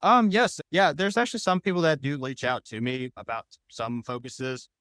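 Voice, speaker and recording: A man's voice: medium pitch, normal speed, a bit bright, clean tone, dry recording. A man answering interview questions as a podcast guest, talking in loose, rambling explanations. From United States.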